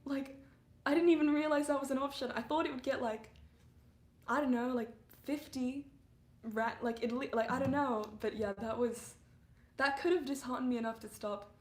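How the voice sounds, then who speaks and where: Giggly young english-canadian woman chatting on her stream, voice a bit rough today, kinda high, echoey room. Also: steady tone, moderate pace, darkish tone. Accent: English mixed with Canadian. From England. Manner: chatty, giggly, lots of fillers. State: voice a bit under the weather. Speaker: livestreaming singer-songwriter. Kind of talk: casual livestream chat.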